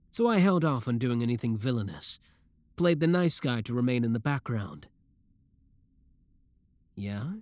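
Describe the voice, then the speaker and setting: A man's voice: mid-range voice, darkish tone, no echo, lightly acted. A young man voicing an obsessive, lovesick young male villain in a theatrical, brooding audio roleplay. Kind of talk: roleplay audio drama monologue.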